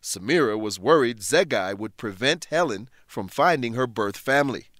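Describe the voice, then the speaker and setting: A man narrating a scripted English lesson, explaining clearly and deliberately as a teacher. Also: medium pitch, animated, a bit bright, dry recording, studio quality.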